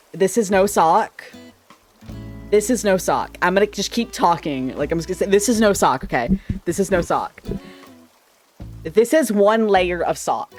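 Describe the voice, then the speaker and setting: A man chatting casually on a livestream with a slightly shrill, sharp-sounding voice, rambling playfully and tripping over his words. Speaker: streamer. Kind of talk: casual livestream chat with viewers. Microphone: desk microphone.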